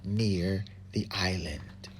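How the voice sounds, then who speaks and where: A woman's voice: very deep voice, very slow, a little hiss or hum. A woman reading a storybook aloud as the narrator. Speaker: narrator, reading partner to a child.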